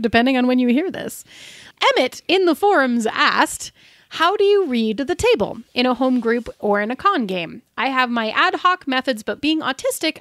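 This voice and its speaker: Lady podcast cohost, chatty and informal, voice a bit high, no room sound at all. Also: fairly bright tone, dry recording, clean studio sound. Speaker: podcast co-host. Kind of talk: conversational podcast discussion.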